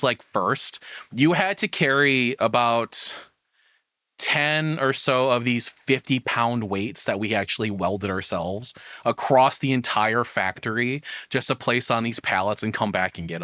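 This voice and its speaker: A man with a bright, forceful voice and a middle pitch, recorded very dry. He talks off the cuff in a casual reaction commentary, rambling and frank, swearing now and then. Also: dry recording. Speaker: content creator reacting to a video.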